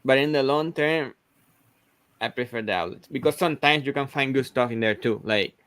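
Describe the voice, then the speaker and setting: A man chats casually on a livestream video call. He talks haltingly, stacking fillers and restarting sentences. His voice sits at an average pitch and sounds close and dry. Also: fairly bright tone, no room sound. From Venezuela. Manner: hesitant, many restarts and "like" fillers. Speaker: host of a reselling livestream.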